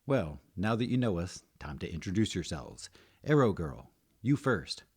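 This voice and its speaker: Man acting veteran male guild leader, fantasy audiobook, brisk, commanding, jovial, husky. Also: low-pitched, big pitch swings, heavily acted. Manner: brisk, barking orders.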